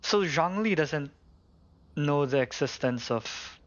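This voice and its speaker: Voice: medium pitch, lively intonation, takes it slowly. A game streamer musing aloud in casual, informal live commentary while playing. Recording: slightly dull lo-fi stream microphone.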